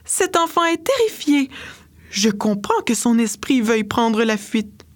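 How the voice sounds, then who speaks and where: A woman voicing a gentle fairy woman who speaks softly and with care. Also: on the high side, big pitch swings, a little slow, pitched above the performer's own voice. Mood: worried. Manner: soft, caring.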